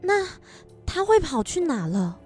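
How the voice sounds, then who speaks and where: A woman's voice: very high voice, sing-song, measured pace, darkish tone, soft background music, full character voice. A woman acting a sensible middle-aged mother in a radio drama, with a Taiwanese accent and a placating, practical manner. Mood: concerned.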